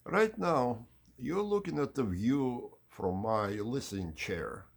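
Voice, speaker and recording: A man hosting an unhurried explanatory video tour in measured, slightly formal phrasing, with a husky, gravelly voice. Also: mid-range voice, lively intonation. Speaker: channel host giving an audio system tour.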